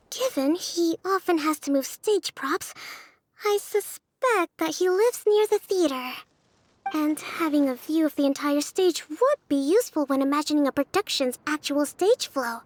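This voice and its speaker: A woman playing a young, observant and helpful character in a video game, explaining things in a calm, measured way. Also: sits high, no echo. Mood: thoughtful. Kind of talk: scripted video game dialogue. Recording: game music under the voice. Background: clear background music.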